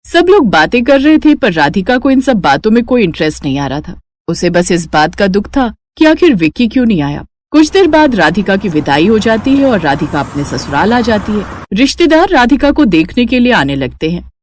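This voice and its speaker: A woman's voice: medium pitch, very animated, moderate pace, dry recording. A woman narrating a scripted family story.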